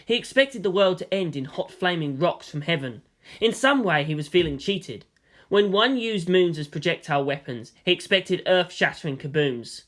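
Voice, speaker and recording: A man's voice: high-pitched, animated, moderate pace, a bit bright. A man reading a story aloud as scripted narration. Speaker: reader of a fan fiction story.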